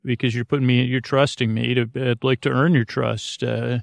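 Male podcast host, mid-pitched with heavy fry and little melody; halting, meandering bedtime rambler who stumbles over his words. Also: flat delivery, dry recording. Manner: meandering, halting, stammering, loses his thread. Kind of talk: rambling, unscripted bedtime monologue.

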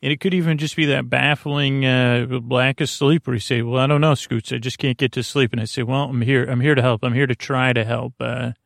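A man hosting a sleep podcast with a creaky voice, giving a gentle, soothing, whimsical monologue that meanders through hesitations, fillers and tangents. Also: medium pitch, fairly even intonation, fairly quick, hardly any pauses, no room sound, clean studio sound. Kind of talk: rambling bedtime monologue.

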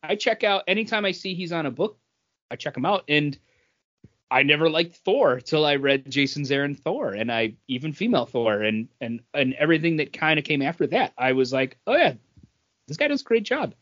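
A man's voice: a little high, animated, a little fast, a bit bright, dull audio. A man chatting casually and enthusiastically as a wordy comic book podcast co-host. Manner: enthusiastic, wordy fan talk. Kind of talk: casual chat.

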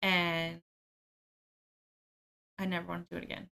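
A woman's voice: fairly low pitch, little pitch movement, a little slow, creaky, sharp tone. A woman, a podcast guest who talks openly about her own life, often with small laughs and ums. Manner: open and self-deprecating, laughs easily, frequent ums. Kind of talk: candid personal storytelling.

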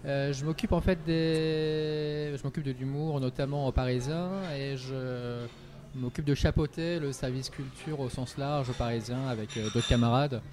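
A man's voice: medium pitch, fairly even intonation, moderate pace, darkish tone. A man who works as a culture editor, chatting casually with wry jokes and many fillers, in a bar. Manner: wry, joking, filler-heavy. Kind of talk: casual chat.

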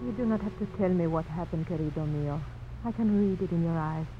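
A woman's voice: lowish voice, fairly quick, lightly acted. Tender sweetheart, played by a woman, muffled radio. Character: gentle, devoted young Spanish-speaking Texan sweetheart. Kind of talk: 1950s radio drama acting. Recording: very dull old radio, loud music.

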